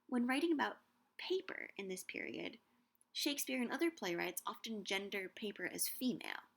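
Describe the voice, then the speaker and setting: Gravelly-voiced woman explaining literature. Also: medium pitch, sing-song, a bit bright. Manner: measured, slowing to savor quoted lines. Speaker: vlogger on Shakespeare and books. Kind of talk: scripted educational narration.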